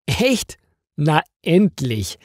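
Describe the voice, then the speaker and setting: A man's voice: a little high, big pitch swings, very slow, a little mellow, dry recording, clean studio sound, pitched above the performer's own voice, full character voice. A man voicing a concerned, helpful female office colleague in caring, questioning storybook dialogue. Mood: relieved.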